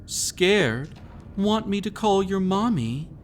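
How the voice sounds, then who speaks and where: A man's voice: sits high, lively intonation, slow, slight background noise. A man playing a sinister, centuries-old master of a haunted estate in a dramatic audio roleplay, teasing and taunting theatrically. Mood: mocking menace. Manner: teasing, taunting, theatrical, with frequent sly chuckles.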